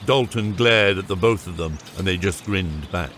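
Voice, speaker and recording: A man's voice: low-pitched, normal speed, fairly bright tone, a little hiss or hum. A man reading scripted narration for a fantasy audiobook.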